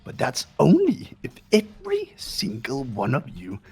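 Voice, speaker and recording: A performer voicing a sadistic, mocking mechanical bear in a fan-voiced video game, gravelly and theatrical, with taunting cackles. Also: medium pitch, huge pitch swings, a little slow, darkish tone, faint music behind, exaggerated voice. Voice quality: gravelly, raspy. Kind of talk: fan voice acting over a game playthrough.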